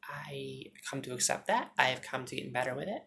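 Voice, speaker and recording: A woman vlogging on her phone at home, chatting fast and joking with some swearing, her naturally deep voice held higher. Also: animated, vocal fry, fairly bright tone, slight background noise. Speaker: YouTuber. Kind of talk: casual storytelling vlog. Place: indoors at home, TV behind her.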